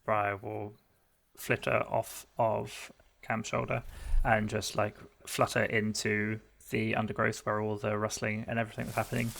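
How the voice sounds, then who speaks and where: A man's voice: fairly low pitch, flat delivery, moderate pace, a bit bright. A man playing a tabletop game, casually improvising narration of what his character does. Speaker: tabletop roleplaying player. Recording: clear background noise.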